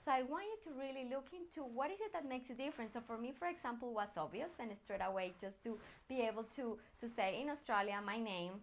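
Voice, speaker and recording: A woman with a fairly high voice and a Colombian accent, a native Spanish speaker. She talks as a personal branding coach, addressing the listener directly. Her delivery is encouraging and earnest, in the manner of a motivational lesson. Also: lively intonation, fast, hardly any pauses, a little hiss or hum. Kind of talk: motivational coaching talk to viewers.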